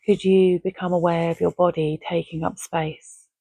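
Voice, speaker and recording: A woman guiding a relaxation meditation in a gentle, measured, soothing way, leaving long pauses between phrases. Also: on the low side, flat delivery, breathy edge, dry recording, rough recording. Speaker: meditation guide. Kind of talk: guided Yoga Nidra relaxation, soothing and measured.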